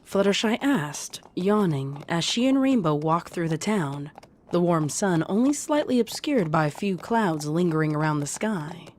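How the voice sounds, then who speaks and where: A woman's voice: fairly low pitch, animated, measured pace, a little hiss or hum. A woman reading fan fiction aloud as scripted storytelling narration. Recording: music under the voice.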